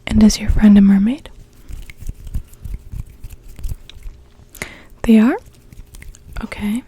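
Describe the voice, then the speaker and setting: A woman voicing a calm, mysterious sea-cove witch in an ASMR roleplay, speaking gently and slowly in a soft breathy whisper. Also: medium pitch, a little hiss or hum, lightly acted, poor audio. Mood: calm, curious. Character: calm mysterious cove witch, part mermaid, potion brewer.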